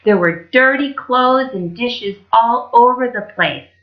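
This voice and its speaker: A woman's voice: fairly high pitch, slow, lo-fi sound. A woman telling stories to children as a library storyteller, in a casual style.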